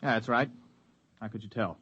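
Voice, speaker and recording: A man's voice: fairly low pitch, normal speed, a bit bright, husky. An actor playing a blunt young ex-spaceman who acts in and narrates a radio drama in a plain-spoken way. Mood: puzzled, weary. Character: young ex-spaceman hero, blunt, uneasy, narrating his story. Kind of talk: 1950s radio drama acting and first-person narration. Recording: dull lo-fi vintage radio recording.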